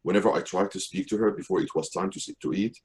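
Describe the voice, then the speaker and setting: Man, middle-aged, lowish voice, calm deliberate memoir reading. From Syria. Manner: measured, careful reading with occasional restarts. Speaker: author reading his essay. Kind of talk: reading a personal memoir aloud.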